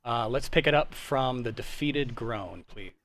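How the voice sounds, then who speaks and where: A man's voice: medium pitch, a little slow, a bit bright. A young man directing a live script reading, giving casual cues and asides to the cast. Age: young adult.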